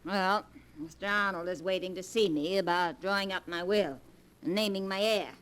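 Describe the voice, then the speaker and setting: A woman's voice: fairly low pitch, moderate pace, fairly bright tone, natural voice, poor audio. A woman playing a cackling scheming grandmother. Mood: sly, mocking. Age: older. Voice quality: dry, cackling. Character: scheming old grandmother, cold and mocking. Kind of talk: 1940s radio acting. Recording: old radio recording with faint hiss.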